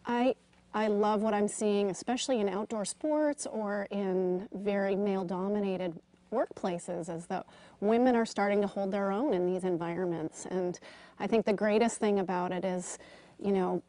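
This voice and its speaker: A woman's voice: medium pitch, steady tone, moderate pace, dark tone, no room sound. A woman in her forties from Switzerland, a ski patroller, speaking earnestly in a TV studio interview. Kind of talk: TV interview answers. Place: TV studio set.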